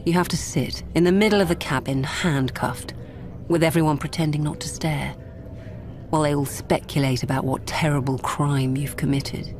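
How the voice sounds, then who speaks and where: A woman's voice: deep voice, fairly even intonation, normal speed, natural voice. A woman playing a composed, stubborn lawyer and robot psychologist in a radio drama, wry and controlled. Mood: wry, reflective. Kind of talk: radio drama narration and dialogue. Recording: music underneath.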